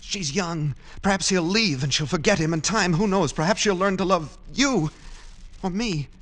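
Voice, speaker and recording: A man's voice: high-pitched, normal speed, fairly bright tone. An actor playing an anxious, lovesick, pleading man in a vintage radio drama. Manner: earnest, pleading, theatrical. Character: lovesick, anxious man living secretly in a department store. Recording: old radio recording with music behind.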